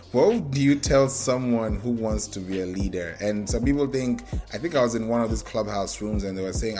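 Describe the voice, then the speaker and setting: A man with a lowish voice hosts a casual interview. He readily agrees with his guest and often affirms what he says before asking his next question. Faint music plays underneath. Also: darkish tone. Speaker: interview host.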